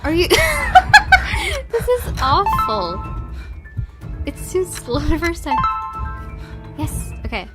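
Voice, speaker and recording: A woman's voice: very high-pitched, sing-song, very slow, pauses often. A woman commentating on a horror game in a reactive, giggly way, switching between reading story text aloud and exclaiming. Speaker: gaming video host playing a horror visual novel. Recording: loud music behind the voice. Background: horror game music.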